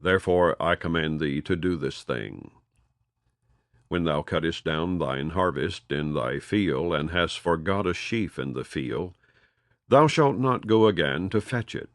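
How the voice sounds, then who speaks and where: A man reading scripture aloud in a measured, solemn cadence, letting phrases fall at their ends. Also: sits low, a bit bright, dry recording, studio quality. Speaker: audio Bible reader.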